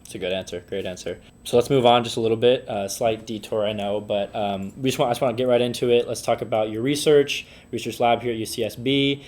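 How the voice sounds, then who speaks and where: A man's voice: medium pitch, fairly even intonation, quick pace, hardly any pauses, darkish tone. A young man from the San Francisco Bay Area hosting a podcast, chatty and eager, with lots of fillers. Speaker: podcast host. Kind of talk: casual interview chat.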